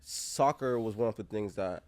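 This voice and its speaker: A man's voice: on the low side, moderate pace, darkish tone. A man with a Jamaican accent, co-hosting a podcast in a laid-back, swaggering way. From Kingston, Jamaica. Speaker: podcast co-host. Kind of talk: casual podcast chat.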